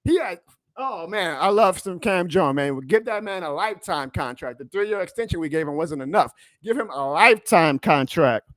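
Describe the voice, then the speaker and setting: Excitable new guy on a live stream, kinda high voice going hoarse, dry sound. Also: normal speed, dry recording. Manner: excitable, emphatic, plugs merch, reads chat aloud. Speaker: sports fan livestream host. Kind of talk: live reaction stream, chatty and hyped.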